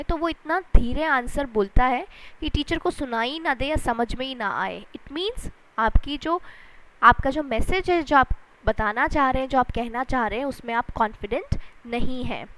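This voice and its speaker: A woman lecturer with an Indian accent and a high-pitched voice. She explains step by step in a teacherly manner, restating each point and putting weight on the key words. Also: moderate pace, fairly bright tone, poor audio. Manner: didactic, repeats and rephrases points, stresses key words. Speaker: English lecturer. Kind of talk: explanatory lesson switching between Hindi and English.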